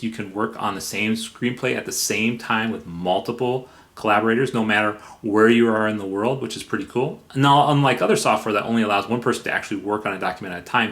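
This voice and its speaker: Low-voiced man giving a casual review. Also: a bit bright. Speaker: software reviewer and video host. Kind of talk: casual review narration with playful asides.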